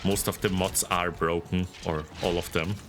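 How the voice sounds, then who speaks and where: A man from Austria with a deep baritone voice and an Austrian accent, chatting casually and giggling as he hosts a game livestream. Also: moderate pace, noisy background. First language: German. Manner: giggly, expressive. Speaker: streamer hosting a game stream. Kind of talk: casual livestream chat while gaming.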